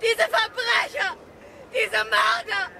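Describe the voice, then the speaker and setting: A woman's voice: very high-pitched, fairly even intonation, slow pace, gravelly, bright, forceful tone, some chatter behind, a little hiss or hum, heavily acted, poor audio. An actress in a German radio thriller playing a distraught, vengeful young mother and wife of a victim. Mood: furious, distraught.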